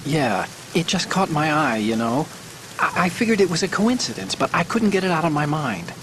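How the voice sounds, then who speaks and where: A hesitant, anxious hacker. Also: male voice, on the high side, normal speed. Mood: worried. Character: nervous male hacker ally on radio. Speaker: tech support on radio. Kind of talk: video game cutscene dialogue. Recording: game audio over steady rain noise. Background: rain.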